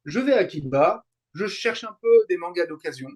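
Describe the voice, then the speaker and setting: A middle-aged man from France chatting as an interview guest, explaining things in a halting way with frequent digressions. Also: high-pitched, animated, a little mellow. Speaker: guest, YouTuber and translator. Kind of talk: casual interview chat, explaining.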